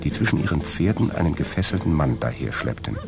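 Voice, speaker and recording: A man with a gravelly voice narrating a scripted story as part of a radio drama. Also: very deep voice, very animated, quick pace. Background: plucked guitar music.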